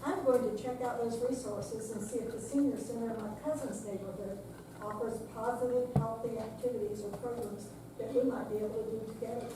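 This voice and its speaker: In a very echoey room with noticeable noise, an older actress plays a supportive woman friend in a stage reading. Her voice sits a little high and comes from away from the mic, with an emphatic, curious delivery. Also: steady tone, fairly quick, distant mic, close to an everyday voice. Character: curious, supportive female friend asking blunt questions. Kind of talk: scripted dramatic reading. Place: senior center room, live performance.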